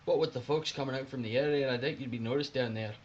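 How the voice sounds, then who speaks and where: A man plays a cheery Scottish Underground worker in an audio drama. He talks in homely dialect, plain and matter-of-fact, at a middling male pitch. Clear background noise surrounds him. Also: fast talker, lo-fi sound, natural voice. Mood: helpful. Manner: folksy, colloquial, matter-of-fact. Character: cheery working-class Scottish London Underground man, Percy. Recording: clearly noisy background ambience.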